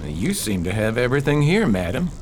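A polite, observant private investigator in a radio play, played by a man. His medium-pitched voice moves with lively inflection and an inquisitive edge. Faint noise sits behind it. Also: brisk, natural voice. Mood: impressed, probing. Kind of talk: radio drama acting.

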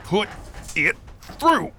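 A man's voice: sits high, sing-song, extremely slow, pushed and bright, a little hiss or hum, full character voice. A man playing an outlaw's sidekick in an amateur radio drama, blunt and threatening. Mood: businesslike. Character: male outlaw sidekick holding a gun.

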